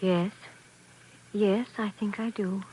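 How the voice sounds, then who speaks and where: Slow, meek, haunted radio-drama wife. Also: female voice, medium pitch, steady tone, warm tone, slightly rough, low-quality recording. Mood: submissive. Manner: hesitant, meek, dazed, obedient. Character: fragile, submissive, haunted wife under husband's power. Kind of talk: 1940s radio drama acting. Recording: old radio recording, faint noise.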